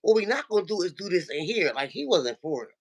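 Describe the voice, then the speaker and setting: A man with a deep, nasal voice and a gravelly edge, speaking a little higher than most men. He tells an online story with energy and acts out each quoted line as he goes. His tone is casual and chatty. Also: big pitch swings, fast. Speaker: regular viewer calling into a livestream. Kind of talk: casual chat, retelling an online argument.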